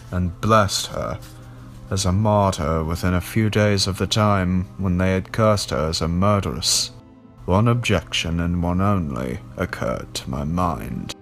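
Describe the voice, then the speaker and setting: A man narrating a classic horror story in a deliberate, dramatic audiobook style. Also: sits low, fairly bright tone.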